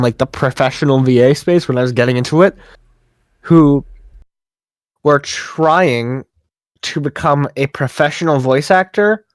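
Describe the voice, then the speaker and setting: A man's voice: fairly high pitch, no echo. A man voice actor giving thoughtful, hedged opinions in a casual podcast chat. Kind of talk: casual group chat on a call.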